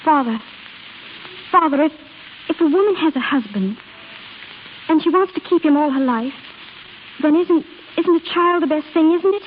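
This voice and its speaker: An actress playing an anxious, earnest young wife in vintage radio drama, plaintive and hesitant. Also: female voice, high-pitched, lively intonation, normal speed, long pauses. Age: young adult. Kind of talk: 1930s-40s radio drama acting. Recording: tinny, hissy old radio recording.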